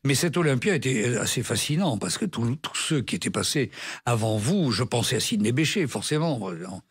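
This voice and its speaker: An older man with a slightly husky, breathy voice tells stories from his life as a radio guest. He stumbles and restarts often, then livens up as he brings an old scene back to life. His pitch sits in an ordinary middle range. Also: normal speed. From France. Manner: hesitant restarts, animated retelling. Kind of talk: anecdotal interview storytelling. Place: radio studio.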